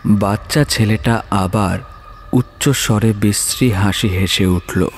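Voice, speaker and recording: A man narrating a scripted horror story in a measured, dramatic way, pausing between phrases. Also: fairly low pitch, slight background noise. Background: music.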